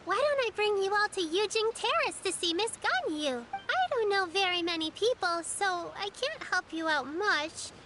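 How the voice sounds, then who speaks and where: A woman's voice: very high-pitched, animated, normal speed, few pauses, over music. A woman voicing a polite, helpful, precocious little girl in a video game, speaking earnestly. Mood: helpful, cheerful.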